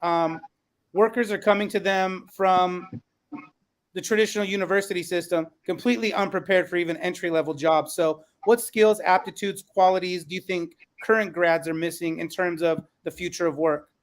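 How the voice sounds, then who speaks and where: A man with a notably high voice hosts a panel discussion. He moderates in an encouraging way, affirming his guests as he moves between them. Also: steady tone, normal speed. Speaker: podcast host.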